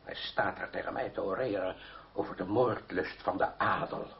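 An older man acting in a radio drama as an irascible, wounded aristocratic uncle who scolds gruffly. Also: sits low, steady tone, moderate pace. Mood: angry, bitter. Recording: dull old radio drama recording.

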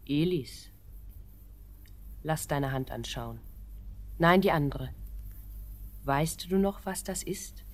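Earnest lovelorn girl, deep female voice, proud, solemn, theatrical, poetic radio drama. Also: lively intonation, takes it slowly, long pauses, full character voice. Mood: tender, earnest. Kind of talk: German radio play acting in elevated poetic language.

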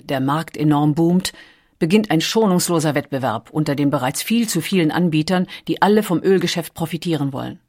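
A woman's voice: deep voice, a little mellow. A woman reads scripted documentary narration as an audiobook narrator.

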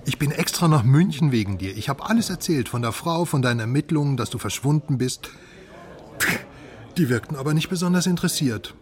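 A man's voice: mid-range voice, expressive, fairly quick, darkish tone, close to an everyday voice. A man acting in a radio drama as a philosophizing, optimistic drinker talking expansively to his friend. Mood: wry, relaxed.

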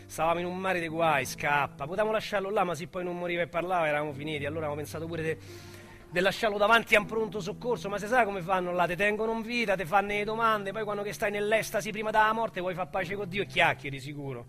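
A small-time Roman crook, rueful and confiding, fairly high and bright, for radio drama. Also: male voice, quick pace, soft background music. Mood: wistful. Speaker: narrator-protagonist.

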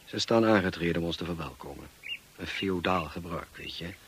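An actor playing a brisk, irritable upper-class husband, master of a manor, in a radio play, with a clipped, urbane manner. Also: male voice, sits low, slight background noise, close to an everyday voice. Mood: genial, brisk.

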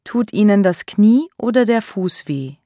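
Female course speaker, measured deliberate delivery, very slow, dry studio sound. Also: mid-range voice, animated, warm tone, no echo. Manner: clear, deliberate enunciation. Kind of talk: slow scripted language drill.

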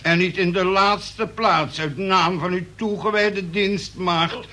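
An actor playing a pious street preacher in a radio play, solemn and commanding in a declamatory way. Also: male voice, sits high, steady tone, takes it slowly, bright, forceful tone, heavily acted. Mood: solemn praying. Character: pious male street preacher leading prayer and hymns.

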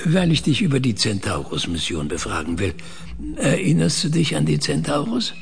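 Husky, deliberate scientist. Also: male voice, on the high side, very animated, heavily acted. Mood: calm, probing. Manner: measured, deliberate. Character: calm, controlling male scientist interrogating an artificial mind. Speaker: radio drama actor. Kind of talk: German radio play dialogue acting.

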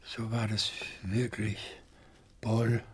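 An older man acting a weary, wounded, philosophical professor in a radio crime drama, speaking weakly, haltingly and solemnly. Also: on the low side, level tone, slightly rough, heavily acted. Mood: weary, bitter. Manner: halting, solemn, drawn-out speech. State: weak, exhausted.

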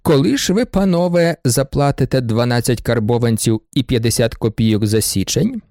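Narrator as a dry official judge, lower voice, close dry sound. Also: male voice, normal speed, darkish tone, dry recording, lightly acted. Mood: questioning. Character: stern middle-aged male judge.

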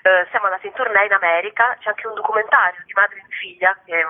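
A woman's voice: medium pitch, fairly even intonation, fast talker. A woman from Bari in southern Italy chatting informally as an interview guest. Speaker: singer-songwriter interview guest. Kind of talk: casual spoken interview. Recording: narrow phone-like radio line.

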